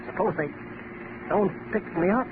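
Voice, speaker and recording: A man's voice: high-pitched, moderate pace, natural voice. An actor playing a nervous, cowardly pilot henchman in a 1930s radio thriller, anxious and protesting. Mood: worried. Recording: noisy, narrow-band 1930s radio recording.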